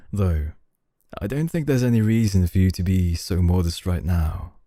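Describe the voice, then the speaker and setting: A male actor as a suave young boss in an ASMR roleplay, with a very dark, mellow, low voice. He speaks slowly and softly, calm and formal, with quiet dominance, recorded close and dry. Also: dry recording. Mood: teasing, assured. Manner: slow, deliberate, smooth formal courtesy, soft chuckles.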